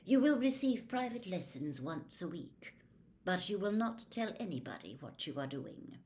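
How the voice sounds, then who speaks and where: A woman plays a sneering wizard schoolmaster in a storybook reading. The voice is low and quiet, smooth and sometimes breathy. Each barbed word is placed with slow, cold condescension. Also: big pitch swings. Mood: smooth, condescending. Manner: slow, smooth, waspish, pausing before barbed words. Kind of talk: audiobook character voice.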